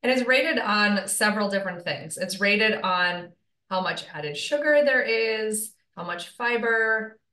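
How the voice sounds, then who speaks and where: Woman, from Michigan, dietitian guest, cheerful, bright tone, echoey room. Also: mid-range voice, animated, a little slow. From Michigan, USA. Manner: cheerful, clear explaining. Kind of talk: casual interview chat.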